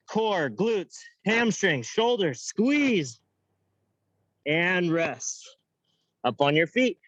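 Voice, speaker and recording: Young male workout coach, high voice, upbeat counting and playful asides, muffled dry sound. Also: dry recording. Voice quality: self-described high-pitched voice. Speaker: fitness coach. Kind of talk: guiding an online workout class.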